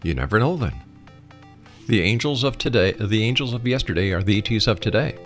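A man's voice: on the low side, expressive, brisk, faint music behind. A man hosting a radio talk show and interviewing his guest.

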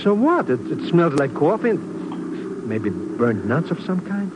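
An actor playing a chatty, slangy, easily startled comic steward in 1950s radio drama style. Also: male voice, a little high, sing-song, a little slow. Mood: startled, puzzled. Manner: jokey, slangy, wheedling patter. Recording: muffled, very noisy old radio recording.

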